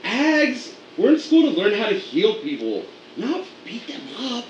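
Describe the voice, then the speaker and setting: A man's voice: sits high, wildly swooping pitch, unhurried, echoey room, far from the mic, dull audio, slight background noise, pitched above the performer's own voice, full character voice. A man voicing a young woman nursing student who talks in a bubbly, teasing way. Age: young adult.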